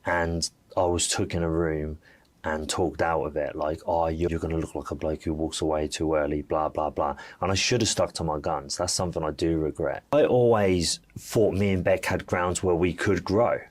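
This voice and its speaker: A man with a low voice talks as a reality TV contestant being interviewed. His delivery is casual and candid, full of colloquial storytelling and quoted dialogue. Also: steady tone, moderate pace.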